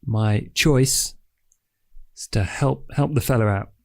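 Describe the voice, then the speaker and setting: A man voicing an awkward young vampire in a tabletop game, halting and unsure. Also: medium pitch. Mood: hesitant. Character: hesitant, awkward young male vampire sidekick. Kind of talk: tabletop roleplay in character.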